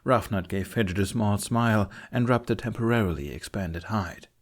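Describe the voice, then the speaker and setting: A man's voice: lowish voice, moderate pace. A man reading a fantasy audiobook as scripted narration.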